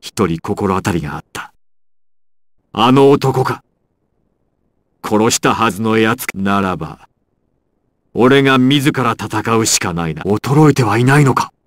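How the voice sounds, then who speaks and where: A man's voice: lowish voice, lively intonation, measured pace, pauses often, dry recording, studio quality. A man playing a proud, ruthless crime boss villain in an anime fighting game, with an imperious, menacing and theatrical delivery. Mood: grave, commanding.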